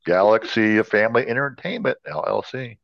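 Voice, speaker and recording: A man's voice: mid-range voice, normal speed, gravelly, a bit bright, no echo. A man co-hosting a podcast in casual chat, jokey and often restarting his phrases. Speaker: podcast co-host. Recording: dull, lo-fi audio.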